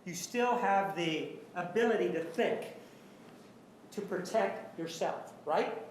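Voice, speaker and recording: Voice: medium pitch, slow pace. A lawyer questions a witness in an echoing courtroom, with faint noise behind. The voice sits in a middle register. The questions are pointed and leading, often closed with a short tag demanding agreement. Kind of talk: courtroom cross-examination. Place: large indoor courtroom.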